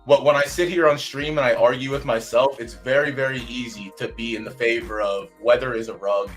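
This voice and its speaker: A man's voice: medium pitch, fairly even intonation, fairly quick, faint music behind. A man hosting a casual podcast chat, talking bluntly and venting with plenty of swearing. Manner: blunt, profane, fired-up venting. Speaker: host. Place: home streaming setup.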